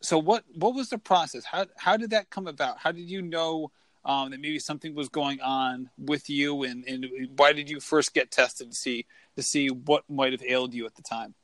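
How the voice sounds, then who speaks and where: A man's voice: fairly high pitch, steady tone, normal speed, a bit bright, no room sound. A man hosting a running podcast, talking enthusiastically and rambling a little as he introduces and interviews his guest. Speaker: podcast host. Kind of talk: casual interview chat.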